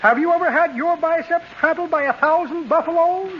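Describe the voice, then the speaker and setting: A man's voice: very high voice, fairly quick. An actor playing a pompous, blustering con man with florid, theatrical delivery in 1940s radio comedy. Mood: indignant. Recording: noisy, muffled 1940s radio recording.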